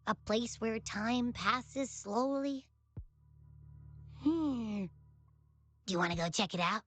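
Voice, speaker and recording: High, bubbly, curious game girl. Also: takes it slowly. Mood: pondering, curious. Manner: playful, expressive. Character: bubbly, curious, food-loving girl companion, teasing and friendly. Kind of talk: video game voice acting.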